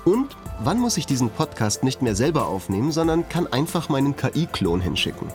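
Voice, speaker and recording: A man's voice: medium pitch, big pitch swings, a little fast, a little mellow. A man co-hosting a podcast in a conversational, lightly scripted trailer. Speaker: podcast host. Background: music.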